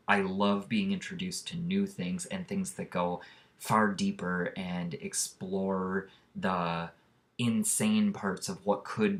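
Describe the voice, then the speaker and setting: Guy with a really low voice rambling excitedly about a movie he loves, super earnest. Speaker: vlogger. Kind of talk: casual movie recommendation vlog.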